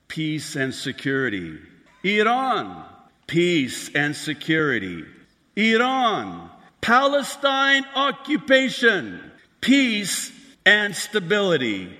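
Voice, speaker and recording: Male pastor, slow and emphatic sermon delivery, lively pitch, reverberant hall. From Lebanon. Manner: emphatic, building to raised outbursts. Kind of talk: preaching a prophecy sermon.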